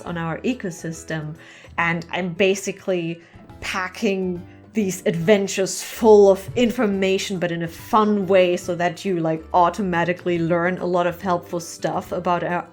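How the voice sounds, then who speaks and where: A woman talking casually and earnestly to her viewers as a channel host, often pausing and repeating words as she finds her phrasing. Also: medium pitch. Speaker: comic artist and channel host. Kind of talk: casual, heartfelt chat to viewers.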